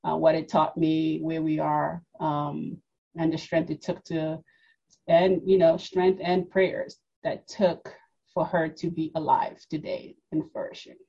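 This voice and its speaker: A woman's voice: sits low, little pitch movement, measured pace, creaky, warm tone, slightly rough, lo-fi sound. A woman in her forties from Congo, raised in New York, telling her personal story as a podcast guest, reflective, with many fillers and restarts. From Democratic Republic of the Congo, raised in New York. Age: 40s.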